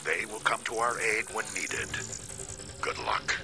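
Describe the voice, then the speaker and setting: A noble giant robot leader, low-voiced and grave, giving orders with measured authority and wide swings of tone. Loud music plays behind this game cutscene. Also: male voice, very animated, gravelly, exaggerated voice. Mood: calm command. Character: noble, solemn giant robot commander of heroic rebels. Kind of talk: video game cutscene acting.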